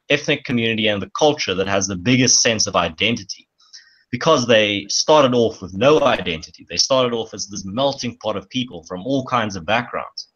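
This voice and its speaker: A lower-voiced man with a South African accent, whose first language is Afrikaans. He explains at length and pauses often on "uh" to find his words. The recording is dull and lo-fi. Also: fairly bright tone. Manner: explanatory, with many hesitations and restarts. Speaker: guest. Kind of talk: casual podcast discussion. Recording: dull lo-fi sound.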